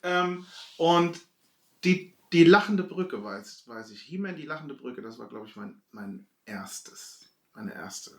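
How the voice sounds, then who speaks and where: Gravelly man in an echoey room. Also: a little high, animated, slow pace, a bit bright, far from the mic. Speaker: podcast host. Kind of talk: casual nostalgic chat among friends. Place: echoey room, away from the mic. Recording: roomy, off-mic sound.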